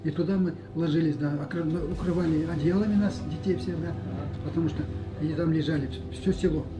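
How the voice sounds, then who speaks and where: A man's voice: fairly high pitch, steady tone, quick pace, echoey room, low-quality recording. An older man from a village, telling a story about his childhood. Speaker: local villager.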